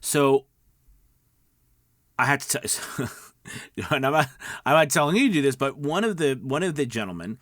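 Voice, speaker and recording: A man's voice: medium pitch, expressive, normal speed, pauses often, a bit bright, dry recording, clean studio sound. A man talking conversationally as a coach in an instructional vlog, emphatic and often checking in with the listener. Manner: emphatic, checks in with okay and right. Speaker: voiceover coach and video host.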